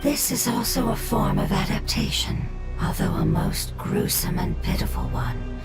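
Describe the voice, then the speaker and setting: A woman voicing a knowing, protective fungus creature in a video game, with formal, measured wording. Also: medium pitch, big pitch swings, loud music behind the voice. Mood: solemn.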